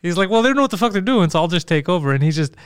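A man from Montreal tells stories in a loose podcast chat, animated and laughing, with plenty of swearing. His voice sits a little high and comes through smooth and resonant, recorded close and dry. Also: rapid-fire, no room sound. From Montreal, Canada. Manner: animated storyteller, swears freely, laughs often. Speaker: comedian guest.

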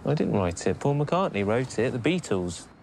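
An actor playing an earnest young struggling musician in a film trailer, speaking and singing softly. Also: male voice, medium pitch, very animated, normal speed, heavy background noise.

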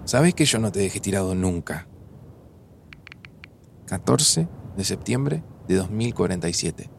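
A man's voice: sits low, lively intonation, measured pace, gravelly, slight background noise, exaggerated voice. An actor with a Buenos Aires accent playing an earnest, insistent, guilt-burdened man in an audio drama. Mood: reassuring, insistent. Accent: Argentine, Buenos Aires. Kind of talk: audio fiction acting, naturalistic dialogue.

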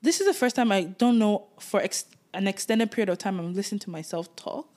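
A woman's voice: mid-range voice, brisk, a little mellow. A Nigerian woman in her twenties, co-hosting a podcast in casual, reflective chat and laughing easily. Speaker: podcast co-host.

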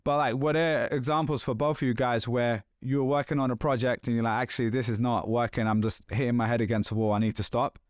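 A man in his thirties with a London accent, hosting a casual podcast chat in a chatty, animated way with loose slang. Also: medium pitch, fast, few pauses, clear, resonant tone, no room sound, studio quality. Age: 30s. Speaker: podcast host.

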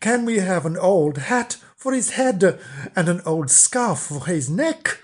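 A storyteller voicing a group of eager small children calling out in a high, sing-song way. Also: sing-song, slow pace, slightly rough, full character voice.

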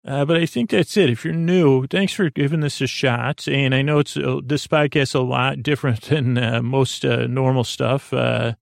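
A man hosting a bedtime podcast in a gentle, reassuring, informal way, meandering and halting with many false starts, in a creaky, gravelly voice. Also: medium pitch, steady tone, no echo, studio quality. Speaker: podcast host. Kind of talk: rambling, soothing bedtime podcast monologue.

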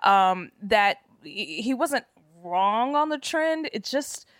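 A woman guest comedian chatting casually on a podcast, animated and slangy, with a slightly nasal voice. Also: medium pitch, slow, no room sound, studio quality. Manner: animated, slangy storytelling with sung and mimicked bits.

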